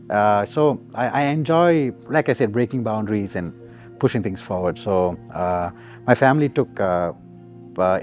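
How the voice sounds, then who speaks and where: A man's voice: lowish voice, a little mellow. Laid-back man reflecting in an interview. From Singapore. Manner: easygoing, reflective, laughs often. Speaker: musician guest. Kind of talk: relaxed interview answers.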